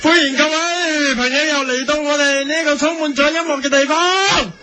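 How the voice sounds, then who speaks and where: A man's voice: very high voice, steady tone, normal speed. A man playing a chirpy music-show DJ with a Hong Kong Cantonese accent and exaggerated presenter patter in a radio parody. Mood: perky.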